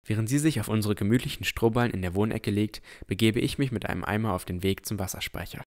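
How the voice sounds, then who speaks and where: A man playing an earnest, pensive village boy of sixteen, narrating a fantasy audio drama in a reflective, deliberate way. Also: on the low side, close to an everyday voice. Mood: earnest, curious.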